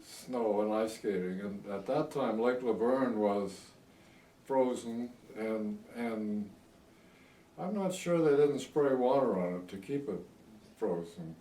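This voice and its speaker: A man in his eighties with an American accent, reminiscing in a halting, rambling way in a casual interview. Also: lowish voice, mellow. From United States. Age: 80s. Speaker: oral history interviewee. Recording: echoey room, distant microphone.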